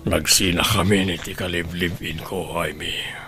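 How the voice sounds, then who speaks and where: A man playing a slow, pleading jilted lover. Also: low voice, steady tone, over loud music. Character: jilted man pressing his ex-girlfriend. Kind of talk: audio drama acting.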